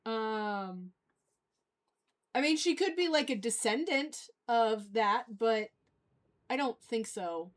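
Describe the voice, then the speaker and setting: High-voiced woman chatting casually. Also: animated, takes it slowly, long pauses. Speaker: livestream host. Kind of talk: casual fan chat.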